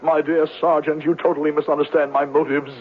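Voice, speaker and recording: An actor playing a glib, irrepressible swindler posing as a healer, pompous and florid, in 1970s radio drama. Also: male voice, on the high side, little pitch movement, a little fast, natural voice. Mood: lofty protest. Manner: grandiloquent, florid, indignant. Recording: narrow-band old radio broadcast.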